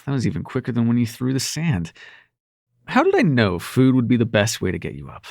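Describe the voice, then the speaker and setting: Male actor as young demon prince for intimate roleplay audio: sarcastic, secretly tender, animated pitch, gravelly edge, casual and sweary. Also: fairly quick, dry recording, clean studio sound, full character voice. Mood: playful, teasing. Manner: casual, sweary, teasing, hesitant when sincere.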